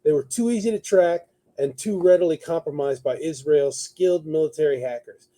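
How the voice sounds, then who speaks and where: A man's voice: on the high side, moderate pace, dark tone. A man hosting a talk show, giving conversational news commentary partly read from notes, thinking aloud as he goes.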